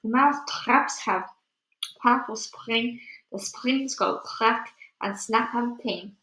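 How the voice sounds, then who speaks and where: A woman's voice: a little high, slow, reverberant, poor audio. A woman voicing a disgusting old witch leader, commanding and gloating in a children's storybook. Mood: gleeful, chanting. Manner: shouting, commanding, gloating, broken grammar.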